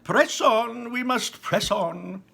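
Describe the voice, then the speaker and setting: A man voicing an impatient, eccentric male candy maker, exclaiming and rushing through his lines in a storybook reading. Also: extremely high pitch, vocal fry, bright, forceful tone, voice pushed up, exaggerated voice. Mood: excited. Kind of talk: storybook read-aloud.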